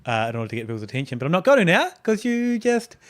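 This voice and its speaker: A man's voice: high-pitched, big pitch swings, racing. A man co-hosting a podcast, chatting casually and joking around. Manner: joking, easily amused, chatty. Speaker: podcast co-host. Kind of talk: casual banter.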